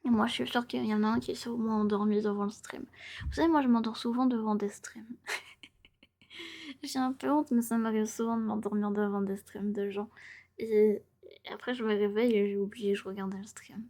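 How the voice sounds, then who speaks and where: A woman in her twenties chatting casually and playfully as a live streamer, often in a soft, breathy ASMR whisper and repeating her phrases. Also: on the high side, fairly even intonation, normal speed. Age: 20s.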